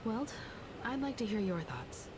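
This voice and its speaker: A woman's voice: fairly high pitch, big pitch swings, measured pace, noisy background. An actress playing a composed, sensible female crew leader in a video game, with polished, reasoned delivery. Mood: calm, considered. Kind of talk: scripted game voice acting.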